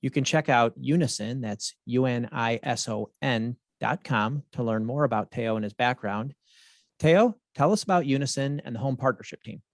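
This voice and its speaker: A man hosts a podcast in a measured, steady way, with a voice of ordinary middle pitch. The sound is dry and close, with no room echo. He reads intros and puts questions to a guest at an even pace. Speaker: podcast host. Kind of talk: podcast hosting.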